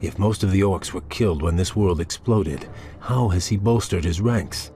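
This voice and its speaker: An actor playing a noble young elven prince in a fantasy game, speaking in a formal, earnest, respectful way. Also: male voice, deep voice, normal speed, mellow, soft background music, natural voice. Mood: deferential. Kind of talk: dramatic fantasy game cutscene acting.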